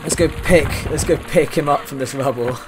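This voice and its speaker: A man's voice: on the high side, moderate pace. Man streaming a game, music behind him. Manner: chatty, reacting aloud and addressing viewers. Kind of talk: casual live stream commentary over a video game. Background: video game music and sound.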